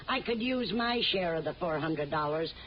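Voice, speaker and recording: A kindly, scatterbrained older lady in an old radio comedy. Her voice is fairly low but very animated, earnest and fussy, on a muffled broadcast. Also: normal speed, a little hiss or hum. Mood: sheepish.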